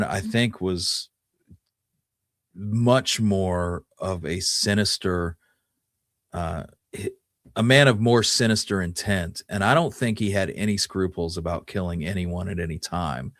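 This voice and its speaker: A man with a notably low voice co-hosts a casual history talk. He speaks haltingly, working out his thoughts as he goes. The sound is dry, with hardly any room on it. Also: no room sound. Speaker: podcast co-host.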